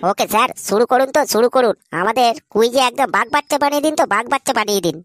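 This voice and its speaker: A woman's voice: on the high side, rapid-fire, very noisy, lightly acted. A woman voicing an eager, cheeky young school pupil in a comedy cartoon, earnest and keen to answer. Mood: excited.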